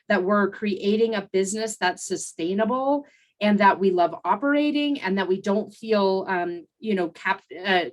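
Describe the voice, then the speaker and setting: Woman podcast host, mid-pitch, chatty solo coaching delivery, voice just back after laryngitis. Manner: conversational, with fillers and restarts. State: recovering from laryngitis.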